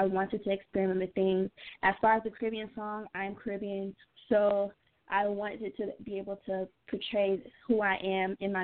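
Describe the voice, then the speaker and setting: A young woman from Atlanta giving a casual radio interview as a guest singer, informal and rephrasing as she goes. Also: mid-range voice. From Atlanta, United States. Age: young adult. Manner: informal, repeats and rephrases herself. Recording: tinny, phone-like line.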